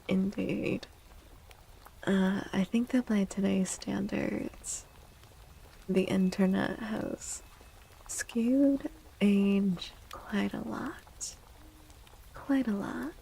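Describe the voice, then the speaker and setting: A woman speaking softly and breathily, often near a whisper, in an unhurried, gentle ASMR livestream chat. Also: medium pitch, steady tone, vocal fry, a little hiss or hum, low-quality recording. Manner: gentle, slow, unhurried, addressing chatters. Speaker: livestream host and tarot reader.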